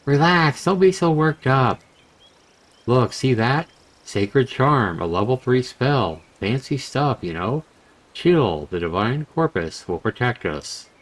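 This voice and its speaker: A man's voice: medium pitch, lively intonation, slow pace, voice pushed up. A man voicing a cheeky, carefree young male adventurer in a breezy, teasing, slangy way for a story game. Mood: breezy, reassuring.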